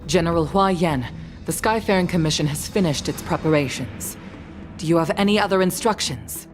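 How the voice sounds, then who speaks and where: A woman's voice: fairly low pitch, brisk, over music, lightly acted. A woman playing a serious, dutiful commission leader in a video game, formal and composed. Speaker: voice actor. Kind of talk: scripted video game acting.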